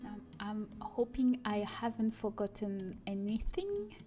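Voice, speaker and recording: A woman's voice: medium pitch, big pitch swings, unhurried, warm tone, soft background music. Chatty woman streaming while she works. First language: Portuguese. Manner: chatty, thinking aloud, small self-corrections. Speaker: streamer making game content. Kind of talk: casual livestream chat while working.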